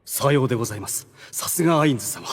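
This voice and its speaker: A man's voice: medium pitch, big-room sound, close to an everyday voice. A man playing a suave, devoted demon strategist who speaks with polite relish, in a Japanese anime audio drama. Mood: reverent. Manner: polite, smooth-talking, deliberate flattery.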